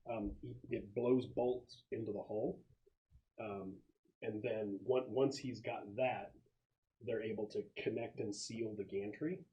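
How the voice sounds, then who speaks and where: A man working as game master, describing scenes and answering questions in a halting, explanatory way during a tabletop game. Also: lowish voice, fairly even intonation, dull audio.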